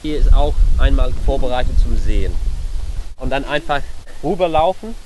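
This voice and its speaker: A man's voice: mid-range voice, animated, normal speed, noisy background. A man from England, a native English speaker, talking informally as a farmer in German with an English accent and simple non-native phrasing. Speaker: farmer in charge of pigs and crops. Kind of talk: interview answers and informal instructions to a group.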